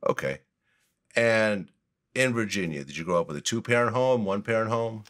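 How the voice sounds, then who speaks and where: A man's voice: fairly low pitch, animated, moderate pace, a bit bright. A man hosting an interview, asking direct, leading questions. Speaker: interview host.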